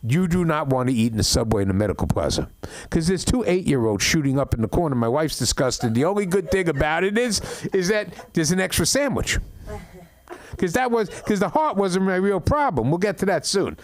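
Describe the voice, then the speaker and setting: A middle-aged man doing an open mic stand-up set, rambling through stories with stammered restarts and deadpan complaints. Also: fairly high pitch, a little fast, no room sound. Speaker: stand-up comedian. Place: comedy club open mic.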